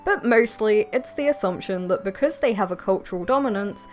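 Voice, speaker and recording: A woman's voice: medium pitch, animated, brisk, darkish tone, faint music behind. A British woman reading a scripted commentary with pointed, exasperated arguments. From Britain. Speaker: video essayist.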